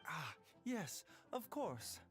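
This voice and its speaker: Courteous, composed doctor with lively intonation. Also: male voice, a little high, faint music behind, exaggerated voice. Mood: polite, composed. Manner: polite, measured formal phrasing. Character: courteous, soft-mannered male doctor, composed and evasive. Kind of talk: video game voice acting.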